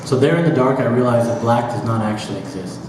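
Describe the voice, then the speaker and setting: A man giving a dramatic, deliberate literary reading of first-person prose, with drawn-out pauses and rhythmic repetition. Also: mid-range voice. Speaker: author reading own work aloud. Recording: very echoey hall, distant mic, background noise.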